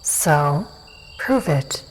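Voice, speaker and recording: A tall, confident elf warrior woman in a fantasy audio drama. She speaks in a lowish, creaky voice with cool, taunting command. Faint insect-filled ambience sits behind her. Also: lively intonation, very slow, slight background noise, full character voice, low-quality recording. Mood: cool approval.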